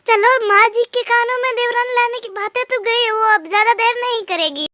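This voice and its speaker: Very high squeaky cartoon bird. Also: female voice, normal speed, bright, forceful tone, dry recording. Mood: scheming. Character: squeaky young bird daughters-in-law and bickering bird family. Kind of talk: Hindi moral-story cartoon dialogue.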